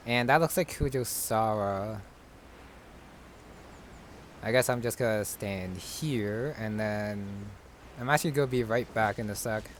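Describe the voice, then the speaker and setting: A man giving casual, low-key game commentary, thinking aloud as he plays. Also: slight background noise. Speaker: gamer playing through a game. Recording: game music behind.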